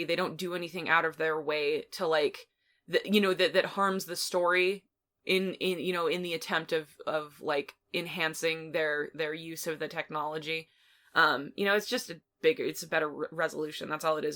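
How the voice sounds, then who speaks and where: Woman, podcast co-host, slightly low pitch, crisp bright tone, casual review chat.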